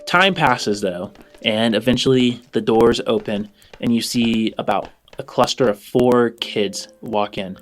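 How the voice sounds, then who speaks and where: A man runs a tabletop game as its narrator. His voice sits at a medium pitch and moves in measured, improvised phrases with pauses. Soft music plays behind him. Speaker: game master.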